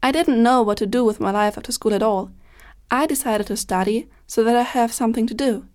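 A woman's voice: a little high, a little fast, darkish tone. A woman in her twenties, a student guest on a scripted panel, talking in a careless, offhand way. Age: 20s.

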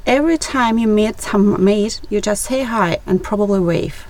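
Young woman reading calmly. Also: mid-range voice, normal speed. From Russia. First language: Russian. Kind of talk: read-aloud scripted conversation.

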